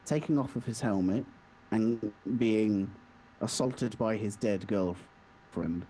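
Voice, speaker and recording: A man's voice: lowish voice, takes it slowly, dark tone, breathy edge, rough recording. A man co-hosting a podcast, casually and insistently giving blunt opinions about video games. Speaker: podcast co-host.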